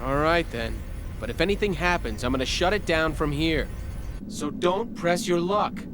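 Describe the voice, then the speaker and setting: An actor voicing an earnest, dutiful young lab assistant in a video game dub, deferential yet protesting. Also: male voice, fairly high pitch, normal speed, over music, lightly acted. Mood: cautious. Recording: noisy game soundtrack ambience.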